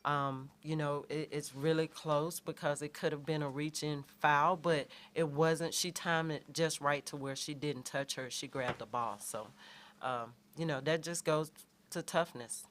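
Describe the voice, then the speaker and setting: A middle-aged woman, a head coach answering questions in a halting way, with many fillers and restarts. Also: deep voice, steady tone, a little hiss or hum. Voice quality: soft voice. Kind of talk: postgame press conference answers.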